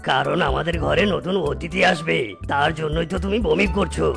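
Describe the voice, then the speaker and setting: A man's voice: a little high, a little fast, vocal fry, music in the background. A man voicing a naive, meek animal husband in a comic cartoon, with an exaggerated hapless delivery. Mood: cheerfully clueless.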